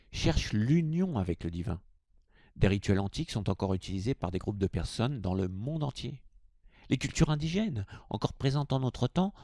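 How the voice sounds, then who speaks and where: Low-pitched man with a gravelly edge. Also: dark tone, dry recording, clean studio sound. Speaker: host of a spirituality video. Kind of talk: informal video greeting, then scripted explanatory narration.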